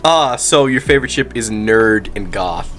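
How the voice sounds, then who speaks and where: A man's voice: fairly low pitch, expressive, moderate pace, fairly bright tone. A man interviewing casually as a stream host, enthusiastic and teasing, with a joking conversational style. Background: music.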